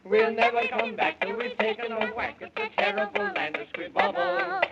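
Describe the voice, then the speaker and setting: An actor playing a folksy, fussy, excitable Man in the Moon in a 1930s children's radio serial. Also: very high-pitched, normal speed, full character voice. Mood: jaunty. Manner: exclaiming, rhyming, comically fussy. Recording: muffled, hissy 1930s radio recording.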